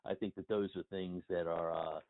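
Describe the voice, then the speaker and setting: Middle-aged male interview guest, low voice, emphatic and wordy, thin phone-line sound. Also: little pitch movement, moderate pace. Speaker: interview guest, industry consultant and blogger. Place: remote phone call.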